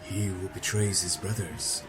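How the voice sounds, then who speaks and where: Cold cult enforcer, low-pitched, solemn ritual chant, audio drama, music behind. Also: male voice, fairly even intonation, unhurried, a bit bright, rough recording. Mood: menacing.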